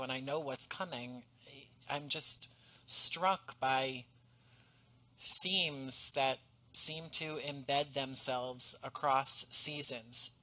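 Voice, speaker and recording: A man's voice: on the high side, sharp tone, rough recording. Earnest man on a headset mic. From US East Coast. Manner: thoughtful, earnest, measured. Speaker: podcast creator and guest. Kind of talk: reflective conversational interview. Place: home room, on a video call. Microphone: headset boom mic.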